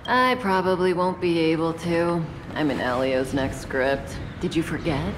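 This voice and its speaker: Slow, teasing mature woman. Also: sits low, background noise. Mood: relaxed, teasing. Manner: languid, gently chiding. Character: unhurried, teasing, mature big-sister female companion. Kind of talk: scripted game dialogue.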